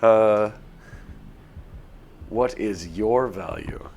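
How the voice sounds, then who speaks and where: A man live-streaming a visual novel, reading text aloud and chatting in a self-deprecating way as he thinks out loud. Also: on the low side, extremely slow, a little hiss or hum. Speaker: game streamer.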